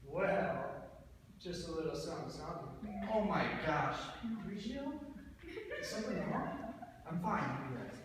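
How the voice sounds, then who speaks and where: A man's voice: fairly high pitch, expressive, normal speed, crowd noise, lightly acted. A young man acting an awkward, jittery young man in a live dramatic play reading. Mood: awkward, uneasy. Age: young adult. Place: student reading event in a reverberant room. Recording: distant, very echoey hall, noisy.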